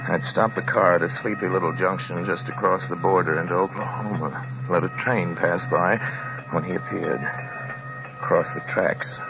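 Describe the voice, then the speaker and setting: An actor playing a haunted, anxious American motorist, intense and dramatic, in old-time radio drama style. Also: male voice, low voice, steady tone, creaky. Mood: brooding dread. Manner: intense, dramatic, halting when addressing others. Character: haunted, anxious male motorist telling his story. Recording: muffled vintage radio recording, loud music.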